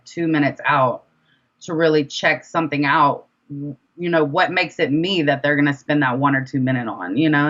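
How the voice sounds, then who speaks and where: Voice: mid-range voice, a bit bright, muffled recording. An independent rapper talking casually as an interview guest, chatty and informal, repeating phrases for emphasis.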